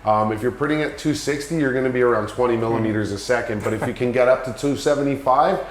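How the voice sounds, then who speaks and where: A man hosts a relaxed product chat in an echoey 3D printing shop. His voice sits at a middle pitch, and he talks in an easygoing, agreeable way. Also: fast talker, slight background noise. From Canada. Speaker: host.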